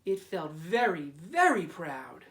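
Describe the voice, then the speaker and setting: Slow, expressive male storybook reader, echoey room. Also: on the high side. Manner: deliberate, dramatic, drawn-out repeated words. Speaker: school principal reading aloud. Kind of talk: expressive children's storybook read-aloud. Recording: roomy echo, distant microphone.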